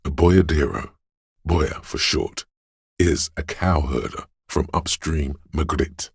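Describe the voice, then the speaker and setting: Erudite male inner voice, extremely low pitch, dry close sound, grandiloquent, wry, measured video game narration. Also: animated, vocal fry, husky, dry recording, full character voice. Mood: measured, scholarly. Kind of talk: scripted game narration, lecturing.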